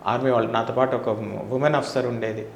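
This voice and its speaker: A man's voice: medium pitch, little pitch movement, quick pace, a little hiss or hum. A middle-aged man from India, speaking emphatically as an interview guest, with rhetorical questions and repeated phrases. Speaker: interview guest, former air force officer. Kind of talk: opinionated interview talk. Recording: roomy, echoing indoor sound.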